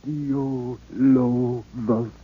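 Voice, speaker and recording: An actor playing a devoted old palace servant in a radio serial, speaking in solemn, archaic, ceremonious English. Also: male voice, mid-range voice, flat delivery, very slow. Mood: reverent, guiding. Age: older. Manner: archaic, formal, ceremonious speech. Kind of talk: 1940s radio serial acting. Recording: dull, noisy old radio recording.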